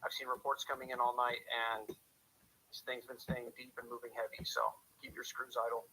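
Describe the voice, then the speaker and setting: An actor playing a steady, commanding police officer on night radio duty, speaking in clipped procedure in a radio drama. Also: male voice, medium pitch, normal speed. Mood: firm, urgent. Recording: hissy police radio or telephone line.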